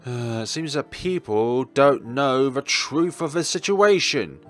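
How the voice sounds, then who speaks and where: A man reading a visual novel story aloud in an expressive, dramatic way, as a let's player. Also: medium pitch, slow. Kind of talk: expressive read-aloud narration of game text. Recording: music playing behind the voice. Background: game background music.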